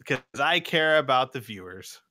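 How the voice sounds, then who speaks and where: Bright-voiced man running a tabletop game. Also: medium pitch, animated, moderate pace. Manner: rambling and self-deprecating, with many restarts and laughs. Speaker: game master and channel host. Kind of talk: casual chat, running a tabletop session.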